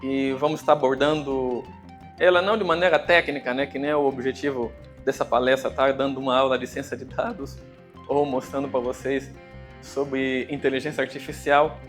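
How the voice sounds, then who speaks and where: A man from Angola giving a conference talk, with an Angolan accent touched by Brazilian Portuguese, speaking hesitantly with many fillers and pauses. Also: mid-range voice, flat delivery, soft background music. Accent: Angolan Portuguese with some Brazilian. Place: conference venue.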